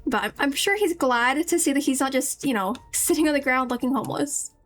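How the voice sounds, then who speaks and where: A woman's voice: high voice, expressive, fairly quick, fairly bright tone. A woman streamer giving casual, chatty, musing commentary on a game with its music behind her.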